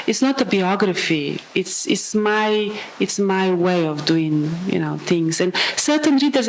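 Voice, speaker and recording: A woman, an author talking about her novels, often pausing and restarting as she hunts for the right English word. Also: mid-range voice, low-quality recording. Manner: searching for words, many fillers and restarts. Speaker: author presenting her work. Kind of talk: public talk and answering questions.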